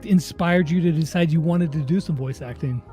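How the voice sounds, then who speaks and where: A man's voice: a little high, moderate pace, dark tone, soft background music. A man hosting a casual interview, asking his guest questions and passing on questions from the audience. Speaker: interviewer, host.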